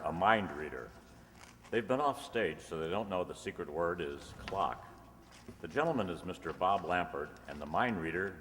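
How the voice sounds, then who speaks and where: A polished old-time radio announcer acted by a man delivers scripted copy like an eager salesman. The voice is very low and echoes through a big hall. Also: fairly bright tone, a little hiss or hum. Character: polished, eager male announcer and sponsor pitchman. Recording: echoey hall, long reverb.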